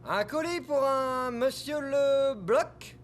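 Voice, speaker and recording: A man playing a goofy, self-important cartoon delivery courier with an officious, drawn-out way of talking. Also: very high voice, background noise. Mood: officious cheer. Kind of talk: animated series French dub acting.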